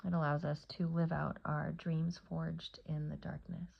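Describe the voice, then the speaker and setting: A woman with a low, gravelly voice leads a guided meditation. She speaks soothingly in short phrases, leaving long pauses between them. The recording sounds slightly dull and muffled. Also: little pitch movement. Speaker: yoga nidra teacher and meditation guide.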